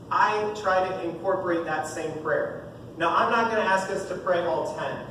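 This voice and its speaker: Booming high-voiced man in an echoey hall. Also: fairly bright tone. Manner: joking, upbeat, talks straight to the audience. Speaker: youth minister. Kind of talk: parish talk to parents. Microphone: no mic, heard from far off. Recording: echoey room from far off, noisy.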